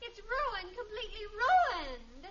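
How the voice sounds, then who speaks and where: An actress playing a lovestruck, dramatic teenage daughter in a 1950s radio sitcom, with a gushing, theatrical manner. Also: female voice, very high voice, sing-song, slow, fairly bright tone, heavily acted. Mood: outraged. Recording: muffled, hissy old radio recording.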